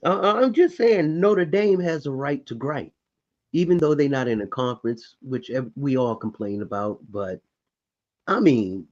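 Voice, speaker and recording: A man's voice: medium pitch, normal speed, a little mellow, muffled recording. A man co-hosting a casual sports podcast, blunt and profane as he gripes. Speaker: podcast co-host.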